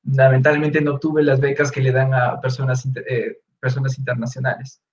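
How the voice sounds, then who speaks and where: A young man with a Peruvian Spanish accent, relaxed and explanatory, answering questions in an online talk with many fillers and restarts. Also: mid-range voice, fairly quick. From Peru. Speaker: guest speaker, researcher. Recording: echoey room, dull lo-fi audio.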